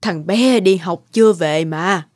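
A woman playing a gentle elderly mother in an animated drama, consoling and reasoning softly with her family. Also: on the low side, unhurried, no room sound, clean studio sound. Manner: soothing, reasoning. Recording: loud music behind the voice.

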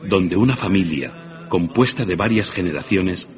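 Deep-voiced male storyteller over music. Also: expressive, measured pace, dull audio. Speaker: narrator. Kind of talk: scripted dramatic storytelling narration.

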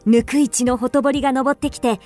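Female actor as wistful first-person narrator, higher-pitched voice, introspective literary radio drama storytelling, music behind. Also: fairly even intonation, quick pace, mellow, lightly acted. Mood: wistful, relieved.

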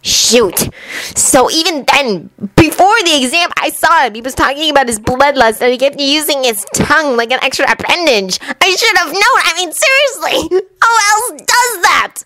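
Woman voicing a brash, exasperated female ninja, pitched high. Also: animated, moderate pace, bright, forceful tone, no room sound, low-quality recording. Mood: exasperated, determined. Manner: blunt, incredulous.

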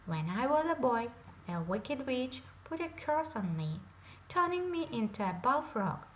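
A woman's voice: on the high side, very animated, a little slow, highs cut off, slight background noise. A woman playing an earnest young prince.

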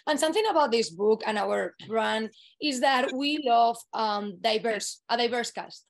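A woman's voice: fairly high pitch, unhurried, creaky. A woman from Spain with a Spanish accent, a native Spanish speaker, talking enthusiastically as an author in a video-call webinar. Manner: enthusiastic, speaks fluent English with frequent "um". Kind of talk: webinar conversation.

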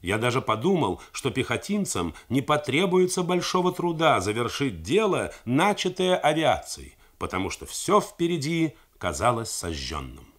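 A man reading the words of an American colonel recounting what he saw at war, in a grave, measured manner. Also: mid-range voice, big pitch swings, voice pushed up. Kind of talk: 1980s radio novel reading.

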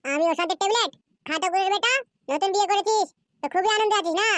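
A high-voiced performer playing furious scorned wives in a Bengali comedy cartoon, ranting and scolding in a shrill, pitched-up childlike voice. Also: quick pace, a bit bright, no room sound. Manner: scolding, foul-mouthed, ranting.

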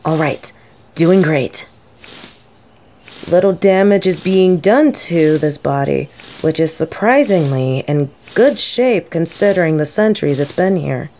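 A gentle female professor, played by a woman in an audio roleplay. Her voice sits slightly low, with a warm, relaxed tone. She is scholarly and thoughtful, choosing formal words. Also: measured pace, slight background noise. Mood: curious, mild. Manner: soft-spoken, thoughtful, formal wording.

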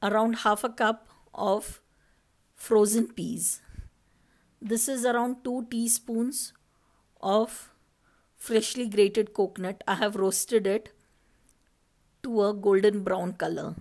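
A woman's voice: mid-range voice, fairly even intonation, darkish tone. A woman from India, narrating a recipe step by step as a cooking channel host over her footage. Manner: slow, step-by-step instructing.

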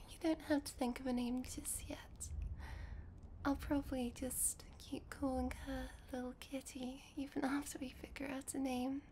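A woman playing a sweet, shy young vampire girlfriend in an intimate ASMR roleplay, whispering softly and hesitantly. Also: high voice, level tone, measured pace, a little hiss or hum. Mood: affectionate, shy. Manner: soft, breathy whispering, hesitant and giggly. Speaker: roleplay voice performer.

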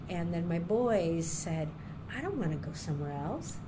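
A middle-aged woman with a fairly low voice recalls her life in a relaxed, rambling way, full of small fillers and restarts. The recording carries a clear, steady background noise. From Puerto Rico, raised near Chicago. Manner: unhurried, chatty, with many ums and restarts. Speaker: interviewee, university diversity director. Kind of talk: oral history interview.

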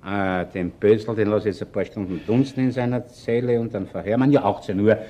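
An actor playing a shrewd, unhurried Viennese chief inspector in a crime radio play, speaking deliberately and wryly with an Austrian accent. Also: male voice, lowish voice, steady tone, dark tone, close to an everyday voice. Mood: measured, probing.

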